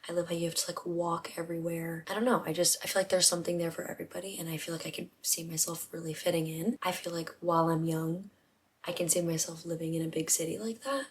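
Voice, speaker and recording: Softly whispering young woman vlogger. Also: on the low side, flat delivery, fast, a little hiss or hum. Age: 20s. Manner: hushed, half-whispered talk. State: voice rough from not talking. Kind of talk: casual chat to camera. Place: home, indoors at night. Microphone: vlog camera.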